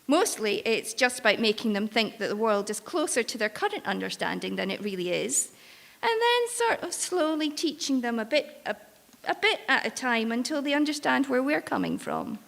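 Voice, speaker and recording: A woman's voice: fairly high pitch, sing-song, normal speed, vocal fry, a bit bright, full character voice. A woman playing a world-weary, protective ship's crew member in a live staged drama, wry and reassuring as she coaxes. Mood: wry, patient. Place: live on stage in an arts venue. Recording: reverberant hall, live stage performance.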